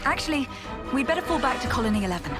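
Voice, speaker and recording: An actress playing a playful, battle-hungry commander in a video game, with theatrical, teasing, jaunty delivery. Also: female voice, high voice, sing-song, very fast, over loud music. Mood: teasing, confident. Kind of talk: video game cutscene acting.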